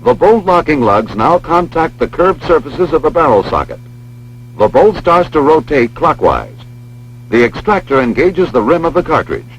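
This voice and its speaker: An American man reading scripted instructional narration for a training film in a measured, precise, formal manner. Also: on the high side, sing-song. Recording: dull lo-fi film soundtrack, humming noise.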